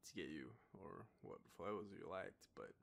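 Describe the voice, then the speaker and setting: A young Australian man acting an intimate boyfriend roleplay as a shy, nervous partner, speaking softly and haltingly into a close microphone. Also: low-pitched, lively intonation. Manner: hesitant, nervous, halting with breathy trailing phrases. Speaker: audio roleplay performer. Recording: faint background noise, ambient sound.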